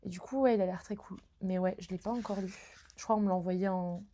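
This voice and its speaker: A woman's voice: medium pitch, steady tone, fast talker, warm tone. Chatty young woman streamer. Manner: chatty, lots of fillers and restarts. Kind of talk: casual chat about books.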